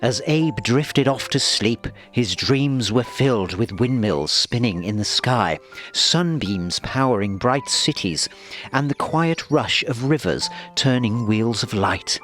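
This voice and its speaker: Slow, animated male storybook narrator. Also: medium pitch. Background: music.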